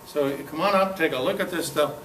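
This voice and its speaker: Folksy man talking in an echoey room. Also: on the high side. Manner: halting, folksy, jokey. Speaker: club speaker giving a talk. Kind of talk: informal show-and-tell talk to a club audience. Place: club meeting room, live talk. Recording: echoey room, distant mic, clear background noise.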